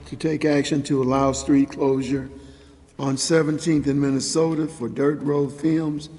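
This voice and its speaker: A man's voice: medium pitch, flat delivery, a little slow, dark tone. A man serving as a council member, stating formal motions at a meeting. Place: council chambers. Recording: hall reverb of a meeting room.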